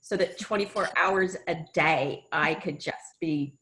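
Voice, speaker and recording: A woman's voice: on the low side, unhurried, pushed and bright. A middle-aged woman from California with an American accent, giving a chatty, upbeat marketing workshop over a video call. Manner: chatty and upbeat, laughs often. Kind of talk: casual webinar presenting.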